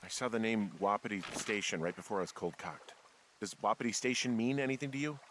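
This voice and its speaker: A man's voice: lowish voice, background noise, close to an everyday voice. Actor as a dry, sardonic male fire lookout. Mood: shaken, hurt. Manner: dry, sarcastic, halting, swears freely. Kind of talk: naturalistic video game acting.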